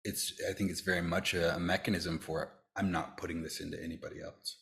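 Male podcast host, low register, conversational, halting delivery that often restates phrases. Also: little pitch movement, fairly bright tone. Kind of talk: casual conversational discussion.

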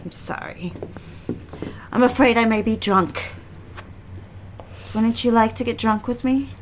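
A woman's voice: a little high, a little hiss or hum, lightly acted. A woman playing a troubled, drunken wife, bitter and suspicious, with a theatrical, mocking manner in an audio drama. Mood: needling, tipsy. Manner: theatrical, mocking, slow and needling.

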